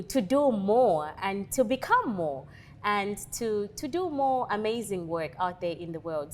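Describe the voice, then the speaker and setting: A woman's voice: medium pitch, a little hiss or hum. A woman in her thirties from Zambia, speaking, talks as an interview guest and leadership coach with earnest, motivational conviction. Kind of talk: interview conversation.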